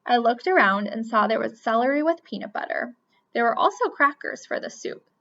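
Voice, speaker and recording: A woman reading a children's picture book aloud with expressive storytelling. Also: fairly high pitch, a little fast. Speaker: reader. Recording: dull lo-fi recording.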